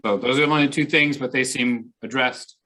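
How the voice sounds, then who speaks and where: A man's voice: medium pitch, steady tone, brisk, pushed and bright. A man giving an informal client services update on a work call, casual and lightly wry. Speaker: client services staff member.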